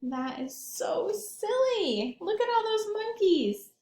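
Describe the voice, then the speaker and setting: A woman reads a storybook to young children like an early years teacher. Her high voice moves up and down expressively at an unhurried pace. The room adds a noticeable echo. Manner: animated, asks young listeners questions.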